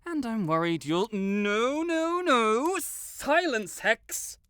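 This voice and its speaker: A man's voice: very high voice, expressive, slow, sharp tone, clean tone, exaggerated voice. A man playing a pompous, melodramatic wizard in an audio roleplay, with flowery, theatrical speech and blurted self-corrections. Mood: flustered, commanding. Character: haughty, melodramatic male wizard, secretly fond tsundere. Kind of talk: scripted audio roleplay to the listener.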